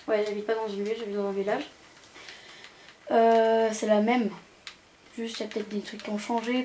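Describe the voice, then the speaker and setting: A woman's voice: on the high side, darkish tone. A young woman giving a casual, rambling, hesitant commentary as she tours a video game. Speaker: gaming channel host. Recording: amateur home recording with background noise.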